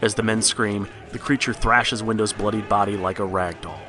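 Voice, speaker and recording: Lowish-pitched male storybook narrator over music. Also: steady tone, normal speed. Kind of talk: scripted storybook narration of a horror tale.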